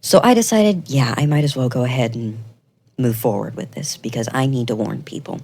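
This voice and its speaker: This is a video creator with a voice of middling pitch. The delivery is semi-scripted commentary, earnest and pointed. Key words get firm emphasis, and the tone is accusatory. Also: a little fast, darkish tone.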